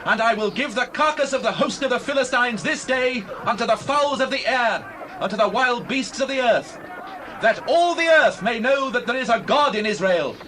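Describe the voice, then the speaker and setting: A bold young shepherd boy, acted by a man, speaks in a high, earnest, declamatory voice. He sounds devout and fearless, as in a scripture drama, with some noise behind him. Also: pushed and bright. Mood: defiant.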